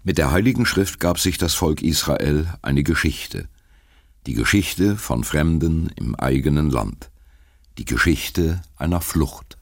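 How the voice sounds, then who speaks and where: A man reading an audiobook in a sonorous, measured narration style. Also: very deep voice, lively intonation, creaky, no echo, clean studio sound. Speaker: audiobook reader. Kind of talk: scripted narration.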